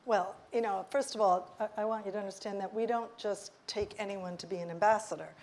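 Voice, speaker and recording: A woman's voice: medium pitch, fairly even intonation, brisk, darkish tone. A woman on a stage panel speaking with enthusiastic, persuasive conviction. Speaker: panel guest.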